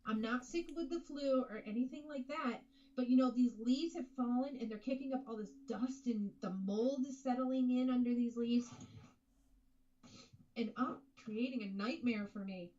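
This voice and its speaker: Brief: chatty female co-host, stuffy with allergies, slightly high voice on a muffled lo-fi mic. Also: normal speed, dark tone, distant mic. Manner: chatty, laughs often. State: congested from allergies. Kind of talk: casual live show chat. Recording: dull lo-fi sound with faint noise.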